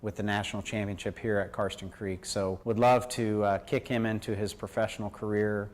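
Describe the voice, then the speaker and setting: A man, a golf coach, talking in an interview with hesitant pauses and fillers. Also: lowish voice, flat delivery.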